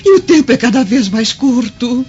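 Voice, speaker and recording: A woman playing a gentle, devout and loyal servant in a radio drama. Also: a little high, animated, moderate pace, lightly acted. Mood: urgent. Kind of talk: Brazilian radio drama acting. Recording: dull lo-fi radio drama with music.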